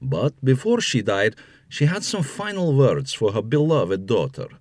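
Guy storyteller reading a fairy tale to kids, lots of lively swing, a bit wry, mid pitch. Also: a little slow, no room sound, studio quality. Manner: wry asides. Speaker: storyteller narrator, host. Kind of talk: scripted fairy tale narration for children.

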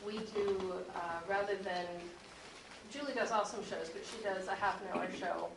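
A woman's voice: mid-range voice, steady tone, moderate pace, creaky. A woman speaking informally as a panelist on a convention panel about her work as a producer and voice actor. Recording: echoey room, distant mic, faint noise.

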